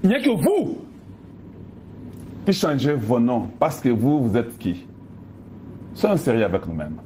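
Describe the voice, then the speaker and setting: A man's voice: medium pitch, big pitch swings, a little slow, dark tone, slight background noise. A man from Congo with a Congolese French accent, giving an impassioned political monologue to viewers, emphatic and full of pointed rhetorical questions. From Democratic Republic of the Congo. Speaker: political commentator.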